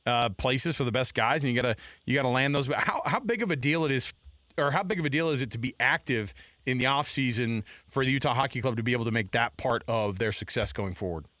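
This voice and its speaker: Fast, bright-voiced man hosting sports radio. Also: medium pitch, dry recording. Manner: joking, teasing, rambling questions. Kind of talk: casual sports talk banter.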